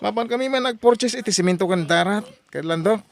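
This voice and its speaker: A woman's voice: mid-range voice, fast talker, natural voice. A woman voicing a reckless, boastful middle-aged husband who gambles, in an audio drama. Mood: bragging. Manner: boastful, brushes off worries.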